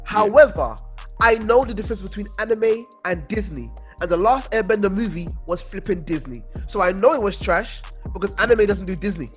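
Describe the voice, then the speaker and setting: A man's voice: high-pitched, a little fast, fairly bright tone, soft background music. A British man co-hosting a podcast, giving emphatic, opinionated takes in casual group chat. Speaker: podcast co-host. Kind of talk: casual group debate about films.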